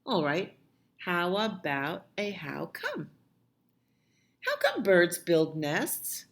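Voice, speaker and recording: A woman voicing a patient, affectionate grown-up who humours a small child in a picture book, gentle and reassuring. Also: lowish voice, huge pitch swings, very slow, heavily acted. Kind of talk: picture-book dialogue read aloud.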